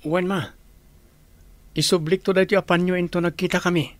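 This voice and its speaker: Actor as dutiful young man, radio drama, slightly high voice, close dry sound, earnest, placating. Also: flat delivery, a little fast, no room sound, close to an everyday voice. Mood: agreeable. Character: dutiful young adult son, soothing his mother. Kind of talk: Ilocano radio drama acting.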